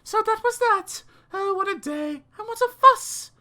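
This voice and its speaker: A woman's voice: very high-pitched, expressive, unhurried, bright, forceful tone. A British woman narrating children's verse as an audiobook reader, theatrical, dramatic and precise.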